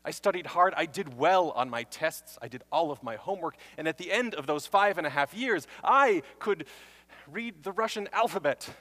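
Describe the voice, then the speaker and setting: A young man telling self-mocking stories in a stage talk. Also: a little high. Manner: animated and self-mocking storytelling. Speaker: speaker. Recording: long hall reverb.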